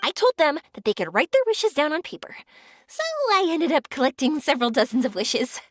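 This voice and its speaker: Cheerful young firework maker, earnest, high, lively, faint music. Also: female voice, brisk, no room sound, studio quality. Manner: bright, eager and reflective. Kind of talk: video game voice acting. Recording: soft game music behind.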